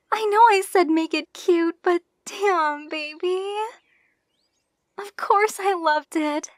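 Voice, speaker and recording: A woman's voice: very high voice, a bit bright, no echo, studio quality, exaggerated voice. A woman playing an affectionate, playful young bride in an intimate ASMR roleplay, speaking tenderly with a giggly, teasing manner. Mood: giddy, teasing.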